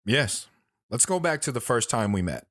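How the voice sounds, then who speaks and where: A man playing a sorrowful, philosophical lone wanderer in an audio drama, speaking earnestly and deliberately. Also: mid-range voice, very animated, fairly bright tone, no echo, clean studio sound. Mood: brooding.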